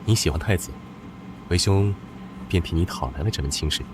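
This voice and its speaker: An actor playing a stern but doting older brother, a war general, who speaks firmly and protectively. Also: male voice, sits low, lightly acted. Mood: resolute. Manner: firm, measured, protective. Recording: background hiss.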